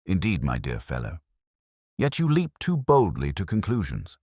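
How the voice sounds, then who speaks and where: A man reading the part of a gentleman detective from a Victorian audiobook tale. His voice is very deep and dry, with expressive rises and falls. He lectures with cool, formal precision. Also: normal speed, no echo, exaggerated voice. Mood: probing, measured. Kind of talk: audiobook character dialogue.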